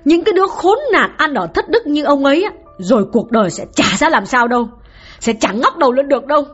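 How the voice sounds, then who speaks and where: A woman's voice: lively intonation, a little fast, a bit bright. A woman playing a bitter, betrayed older wife. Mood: furious. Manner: shrill, sobbing complaints and curses. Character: aging betrayed wife, bitter and grief-stricken. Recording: slightly dull, faint background music.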